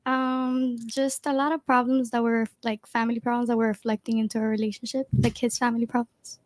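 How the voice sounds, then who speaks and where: A woman in her twenties, a podcast panel guest, answering hesitantly with many pauses. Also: fairly high pitch, flat delivery, darkish tone, no echo. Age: 20s. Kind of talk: casual panel chat.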